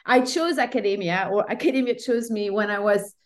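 A woman's voice: mid-range voice, a little fast. A woman from Belgium, speaking reflectively as a guest in a conversational interview and often searching for her words. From Brussels, Belgium. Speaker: professor, podcast guest.

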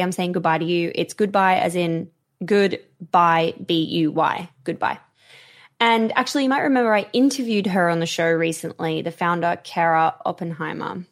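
A woman hosting a podcast in an upbeat, chatty way, her voice a bit croaky. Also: on the low side. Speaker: podcast host. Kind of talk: casual podcast monologue.

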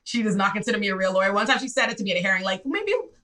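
A Bahamian woman in her twenties, a public defender telling stories in a casual podcast chat, her voice leaping high when she acts out scenes. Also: racing. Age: 20s. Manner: lively, swings into high excited re-enactments. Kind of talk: animated storytelling in casual chat.

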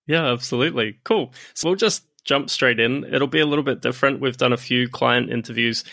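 A man hosting a business podcast with a brisk, friendly delivery. His voice sits at an ordinary pitch. It is recorded close and dry, with no room sound. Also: creaky, bright, forceful tone, no room sound. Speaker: podcast host and deal maker. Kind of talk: scripted intro then casual interview.

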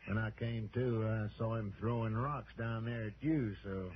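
A man's voice: lowish voice, steady tone, normal speed, close to an everyday voice. An actor playing a detective's loyal, plain-spoken male partner in a 1960s radio drama, with folksy, colloquial phrasing. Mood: easygoing, wry. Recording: dull, noisy old radio recording.

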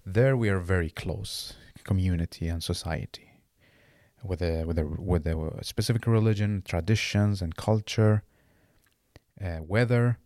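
Deep-voiced Iraqi-born man, halting and earnest. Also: darkish tone, no echo, studio quality. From Iraq. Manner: halting, searching for words with many restarts. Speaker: guest. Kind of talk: casual interview conversation.

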